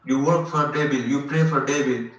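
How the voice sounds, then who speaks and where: A man from Nepal with a Nepali accent who asks questions in a hesitant, halting way with many restarts. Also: medium pitch, steady tone. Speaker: group member asking questions. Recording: very echoey room, distant mic.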